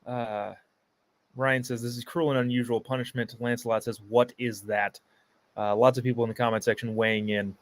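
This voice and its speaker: A man's voice: mid-range voice, flat delivery, normal speed. A man hosting a live show, giving sarcastic commentary on a clip and reading viewer comments aloud.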